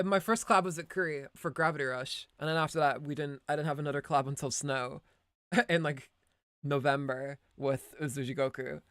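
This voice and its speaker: A woman's voice: sits low, gravelly, a bit bright, clear, resonant tone, no room sound, clean studio sound. A woman from Ireland with a light Irish accent blended with American, chatting casually on a live stream, giggling, rambling, swearing and correcting herself. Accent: light Irish, mixed with American.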